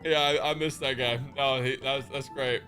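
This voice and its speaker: Bright-voiced man streamer over music. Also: mid-range voice, fairly even intonation, normal speed. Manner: slangy, profane, joking, hyperbolic. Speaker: live streamer reacting to a game. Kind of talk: casual livestream chat.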